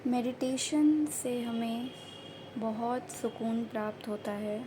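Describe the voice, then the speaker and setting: A woman guiding a meditation in a gentle, soothing manner, with short unhurried phrases of instruction. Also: fairly high pitch. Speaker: meditation guide. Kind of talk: guided meditation narration. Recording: faint background noise and ambience.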